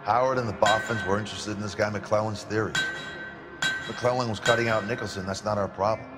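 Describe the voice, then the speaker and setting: A man's voice: on the low side, fairly even intonation, a little fast, loud music behind the voice, close to an everyday voice. A man playing a cocky, slangy security chief. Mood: cocky, evasive. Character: brash, slippery male head of aircraft company security. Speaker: head of security. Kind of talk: video game cutscene acting.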